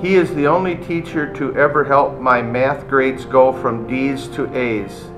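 A man's voice: medium pitch, measured pace, music in the background, low-quality recording. A middle-aged male teacher reading reviews aloud and reacting casually in a classroom. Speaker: math and computer science teacher. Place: indoor classroom.

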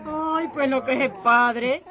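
A woman's voice: sits high, fairly even intonation, slow pace. An actress playing a jolly, teasing village woman in a radio drama. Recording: busy crowd behind the voice.

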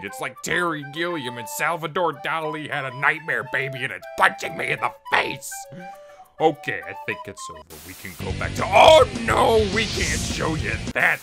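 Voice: medium pitch, gravelly. A speaker giving a sarcastic comic announcement in an exasperated, sardonic manner.

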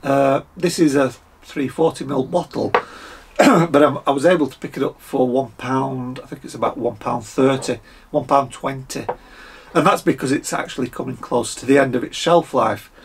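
A croaky Scottish man in his fifties, sounding husky, talks casually through a beer tasting at a desk, with plenty of fillers and the odd throat clearing. His pitch sits in the middle, and the sound is close to the camera in a small room at home. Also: moderate pace. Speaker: beer reviewer.

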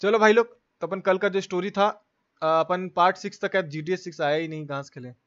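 A man's voice: sits high, steady tone, a little fast, dull audio. A man hosting a live game stream, chatting casually and slangily as he greets viewers by name.

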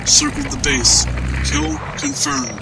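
An actor playing a businesslike military pilot giving clipped radio reports in an audio drama. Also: male voice, slow pace, noisy background, lightly acted.

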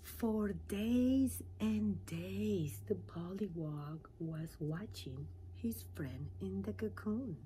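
A middle-aged woman reading a children's storybook aloud in an animated way, with dramatic pauses and questions to her listeners. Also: medium pitch, slight background noise, low-quality recording.

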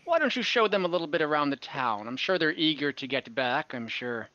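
A man's voice: fairly high pitch, big pitch swings, fairly quick, sharp tone, dry recording, highs cut off. A man playing a wealthy, fussy father of a young cleric, in a tabletop game over a video call. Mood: paternal. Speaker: game master.

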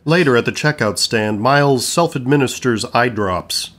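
A man's voice: mid-range voice, expressive, normal speed, fairly bright tone. A man narrating scripted stage directions for an audio play.

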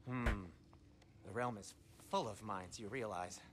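A man's voice: on the low side, takes it slowly, a bit bright, a little hiss or hum. A man playing a fussy, eager, fretful dwarf craftsman in a video game, chatty and prissy. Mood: helpful.

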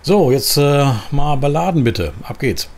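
A man from Germany giving casual, chatty commentary as he plays a trucker in a driving simulator, thinking aloud in a relaxed way. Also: on the high side, measured pace. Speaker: gaming video host playing a trucker. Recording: clear engine and vehicle noise behind. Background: truck simulator game sound.

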